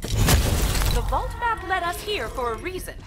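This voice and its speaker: A woman's voice: very high voice, very animated, measured pace, exaggerated voice. A woman playing a curious, brainy scientist guide in a video game, speaking in a precise, formal way. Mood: intrigued. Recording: loud music behind voice.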